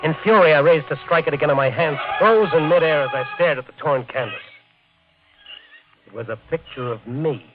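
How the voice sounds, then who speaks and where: An actor playing an obsessed treasure hunter sliding into murderous madness, narrating intensely in a 1950 radio drama. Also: male voice, on the high side, brisk, natural voice. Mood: horrified. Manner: intense, brooding, haunted. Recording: tinny vintage radio broadcast, music underneath.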